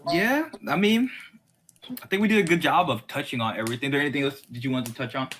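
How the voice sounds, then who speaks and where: A man in a casual, opinionated chat as host, talking in an animated, exasperated way and slipping into mocking quotes. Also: medium pitch, fast, noisy background. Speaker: host of a fan discussion series.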